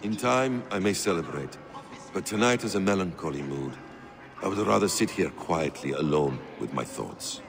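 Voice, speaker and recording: Deep, melancholy, courteous warrior. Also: male voice, animated, creaky. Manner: measured, formal. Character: calm, melancholy, courteous male traveller-warrior. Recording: long hall reverb, music behind.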